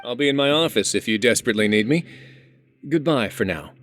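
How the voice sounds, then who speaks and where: A man playing a composed, authoritative prison warden duke in a video game, measured and dryly formal. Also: medium pitch, expressive, a bit bright, soft background music, close to an everyday voice. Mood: businesslike. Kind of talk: game voice acting.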